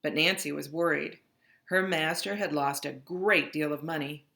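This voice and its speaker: A woman reading a children's picture book aloud in an expressive, dramatic narrating style. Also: low-pitched, normal speed, vocal fry. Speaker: storybook reader.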